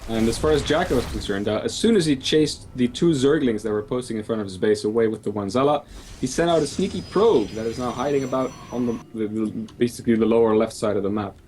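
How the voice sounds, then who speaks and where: Dutch caster explaining at home. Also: male voice, medium pitch, a little mellow, a little hiss or hum. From Netherlands. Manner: explaining, measured, frequent uh fillers. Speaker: esports caster. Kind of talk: casual game commentary. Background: faint music.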